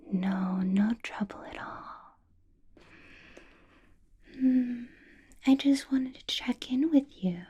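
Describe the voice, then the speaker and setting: A woman playing a doting yet menacing vampire boss in a breathy, whispered ASMR roleplay. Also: mid-range voice, warm tone, lo-fi sound. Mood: affectionate, silky. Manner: slow, breathy whisper with silky, drawn-out phrasing.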